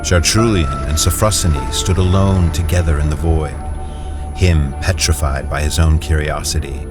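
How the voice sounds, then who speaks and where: Male narrator, very low voice, measured storytelling, music score underneath. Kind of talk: scripted narration.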